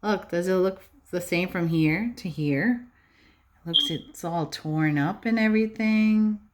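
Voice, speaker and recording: A woman teacher reading a picture book aloud to young children in an expressive, instructive way, often pausing to ask them questions. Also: lowish voice, noisy background.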